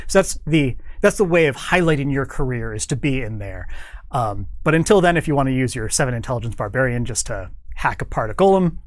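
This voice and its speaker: A man's voice: medium pitch, expressive, fairly quick, no echo. A man speaking as an interview guest in a studio, explaining his work in an informal way. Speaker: guest, game developer.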